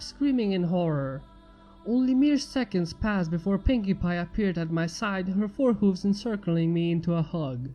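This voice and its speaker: High-voiced man narrating, drenched in reverb. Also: dark tone. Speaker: fan fiction narrator. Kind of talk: scripted story narration. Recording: muffled, echoey long reverb under music. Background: music.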